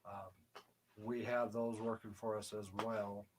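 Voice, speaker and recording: A soft-spoken man co-hosting a casual livestream chat, talking quietly and a little away from a shared microphone. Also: lowish voice, flat delivery, slow, slight background noise. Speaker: co-host. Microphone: shared desk mic, off-axis.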